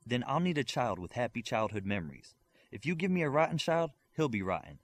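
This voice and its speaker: A composed, regal woman of worldly experience speaks in an audiobook story, voiced by a man. Her pitch moves freely, and her manner carries quiet authority. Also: very animated, brisk. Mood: authoritative.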